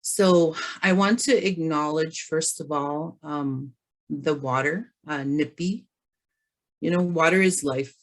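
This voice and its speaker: A woman from the James Bay area of northern Canada giving a webinar talk over a video call, hoarse from laryngitis, speaking carefully with many pauses. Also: low-pitched. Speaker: guest presenter. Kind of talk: webinar presentation.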